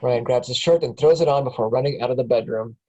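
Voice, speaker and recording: A woman's voice: rumbling low, fast, dark tone, rough recording. A woman reading stage directions aloud in a casual table read, often breaking into laughs.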